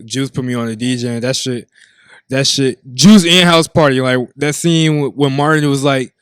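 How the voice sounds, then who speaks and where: A man's voice: medium pitch, moderate pace, a bit bright, clear, resonant tone, no echo, studio quality. A man guest who DJs, talking casually on a podcast in an emphatic, profane, repetitive way.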